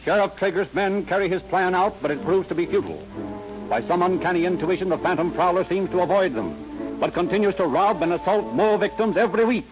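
A man's voice: high voice, animated, fairly quick. A man narrating a crime drama in a grave, declamatory, melodramatic 1930s radio style. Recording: tinny old radio, loud music behind.

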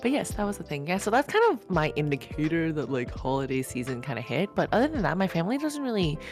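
Low-voiced young woman co-hosting. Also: sing-song, fast talker, a little mellow, soft background music. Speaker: podcast co-host. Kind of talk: casual chat.

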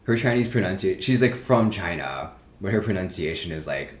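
A man's voice: low voice, lively intonation, moderate pace. A man hosting a casual chat, talking in a laid-back, rambling way with lots of fillers. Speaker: host. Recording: echoey room with faint noise.

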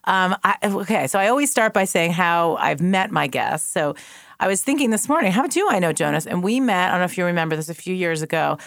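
A woman's voice: on the low side, expressive, brisk, fairly bright tone, dry recording, clean studio sound. A woman hosting an interview podcast, a TV producer, chatty and bubbly, laughing often.

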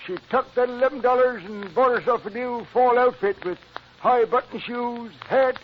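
An actor playing a folksy older henpecked neighbor with a rural American accent in a radio comedy. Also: male voice, very high voice, moderate pace. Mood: indignant reminiscing. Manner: folksy, rambling, yep-repeating. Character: folksy, henpecked old country neighbor man. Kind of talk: old-time radio sitcom acting. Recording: muffled, hissy old radio recording.

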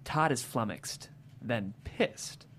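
A woman's voice: a bass voice, lively intonation, slow. A woman reading the stage directions of a comedy screenplay as a narrator.

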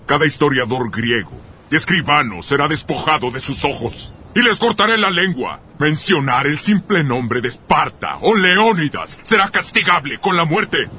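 An actor playing an imperious, menacing god-king in a film dub, with a pompous, deliberate, declamatory delivery. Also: on the high side, expressive, bright, forceful tone. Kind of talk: dubbed epic film acting. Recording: noisy film soundtrack clip.

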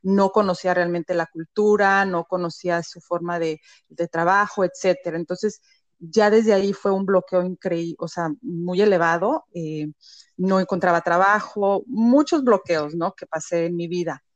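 A woman's voice: fairly low pitch, steady tone, normal speed, no echo. A woman with a Mexican accent, a business founder speaking as an interview guest, talking reflectively with many "eh" and "¿no?" tags. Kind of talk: conversational interview.

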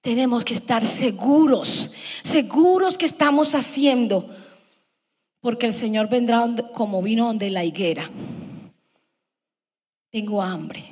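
A woman's voice: a little high, a little mellow, husky, big-room sound. A middle-aged woman preaching a sermon to her congregation, emphatic and fond of repeating her lines.

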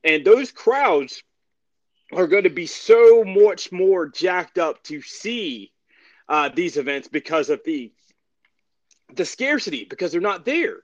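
Halting man with dull, dry sound. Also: sits high, expressive, no echo. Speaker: wrestling podcast co-host. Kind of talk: casual sports recap chat.

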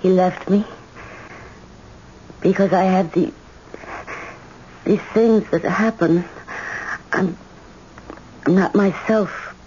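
An actress playing a fragile, lovesick young daughter in an old-time radio drama, speaking in a plaintive, halting way. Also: female voice, fairly low pitch, fairly even intonation, mellow. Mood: dazed, broken. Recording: muffled, noisy old radio recording.

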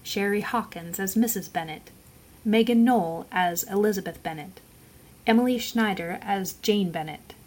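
A woman announcer reading scripted credits for a radio drama. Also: medium pitch.

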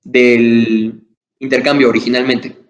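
Young male podcast host, rambling questions, many fillers, mid-pitched voice. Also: little pitch movement, measured pace. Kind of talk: casual interview.

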